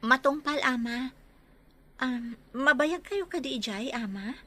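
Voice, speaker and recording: A woman's voice: sits high, animated, unhurried, pushed and bright, exaggerated voice. A woman playing a dutiful, polite young village daughter in a radio drama, with a Filipino accent. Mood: obedient.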